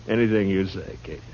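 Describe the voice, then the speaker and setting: Tough laconic american frontier lawman, low voice, dry and wry, hissy old radio western. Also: darkish tone. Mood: amused. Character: tough, dryly amused frontier marshal in 1870s Kansas. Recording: muffled, noisy 1950s radio recording.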